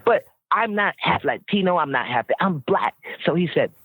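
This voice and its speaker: A woman with a very deep voice, telling stories bluntly and with profanity as a guest in a casual interview chat. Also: animated, normal speed, breathy edge, dry recording. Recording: tinny phone-like line.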